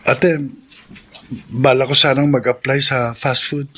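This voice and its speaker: A man's voice: medium pitch, level tone, slow pace, pushed and bright, slightly rough, exaggerated voice. A man playing an earnest, responsible young student son in a Filipino radio drama, speaking sincerely. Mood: earnest, concerned. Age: young adult.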